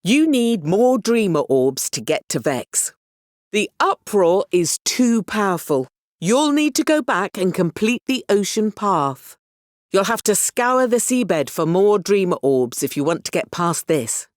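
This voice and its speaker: An actress playing an encouraging, wry mentor in a video game, expressive and instructive as she guides a young hero. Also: medium pitch, unhurried, no echo, clean studio sound. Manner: expressive, instructive, gently apologetic. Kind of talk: scripted video game character lines.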